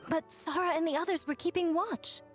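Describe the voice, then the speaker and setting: A woman's voice: very high voice, fairly even intonation, fairly quick, soft background music. An actress voicing a sly, confident, playful woman in a video game, with a wry way of talking. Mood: concerned. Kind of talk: English video game voice acting.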